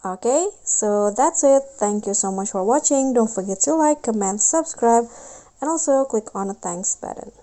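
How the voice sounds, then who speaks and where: A woman's voice: fairly high pitch, expressive, moderate pace, warm tone. A woman hosting a casual step-by-step app tutorial in a voice-over. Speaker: tutorial host.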